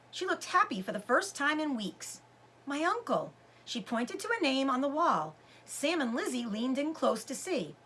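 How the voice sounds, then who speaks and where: A woman reading a children's storybook aloud like a teacher, with deliberate, dramatic phrasing. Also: fairly high pitch, bright, forceful tone, slightly rough. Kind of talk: expressive children's storybook narration.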